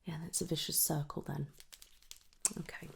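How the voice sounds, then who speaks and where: A soft-spoken woman in an ASMR medical roleplay, gently asking questions in a breathy voice that often slips towards a whisper. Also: deep voice, little pitch movement, a little hiss or hum. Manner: gentle, unhurried questioning with pauses for answers. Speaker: ASMR performer playing a trichologist.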